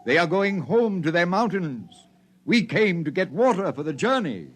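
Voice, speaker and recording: A man's voice: high-pitched, very animated, moderate pace. A man playing a solemn old prophet-like leader of the Martians in a 1950s radio drama, grave and oratorical. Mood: grave, restrained.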